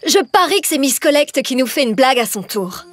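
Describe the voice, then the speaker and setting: High-voiced, stubborn cartoon schoolgirl. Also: sing-song, brisk. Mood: determined. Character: confident, stubborn young schoolgirl. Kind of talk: French cartoon dubbing. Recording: music behind the voice.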